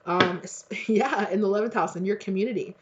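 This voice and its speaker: A woman's voice: medium pitch, brisk, muffled recording, background noise. A woman from the United States reading tarot for her viewers in a rambling, chatty way, congested and coughing from allergies. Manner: chatty, rambling, laughs often. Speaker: tarot reader. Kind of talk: casual spiritual chat to viewers.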